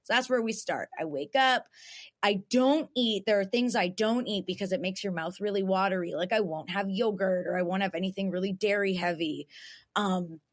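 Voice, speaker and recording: Young woman, raspy voice, talkative podcast guest, frequent laughs. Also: mid-range voice, moderate pace. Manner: chatty, laughs often, restarts. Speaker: guest voice actor. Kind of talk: casual podcast chat.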